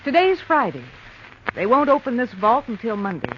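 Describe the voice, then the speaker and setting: Cunning vintage radio crime schemer. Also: female voice, fairly high pitch, big pitch swings, moderate pace. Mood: cold, triumphant. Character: cold, cunning female criminal, brazen schemer. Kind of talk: 1940s radio drama acting. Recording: noisy muffled vintage radio recording.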